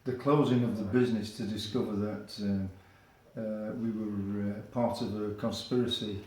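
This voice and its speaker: An older man from England, telling his story in an interview, hesitant and halting with many fillers. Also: lowish voice, fairly even intonation, darkish tone, echoey room, low-quality recording. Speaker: guest.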